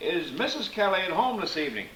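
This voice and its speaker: A courteous, businesslike policeman, with a slightly high voice. He is heard in vintage radio style, echoey and faintly noisy. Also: very animated, fast, bright, forceful tone, heavily acted. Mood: polite, apologetic. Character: polite, apologetic male police officer. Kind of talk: old-time radio drama acting.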